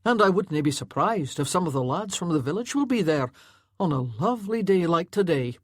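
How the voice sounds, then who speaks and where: A woman's voice: fairly low pitch, lively intonation, moderate pace, dry recording, studio quality. A woman voicing a grim, weary laird who speaks firmly and in short phrases. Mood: cheerful.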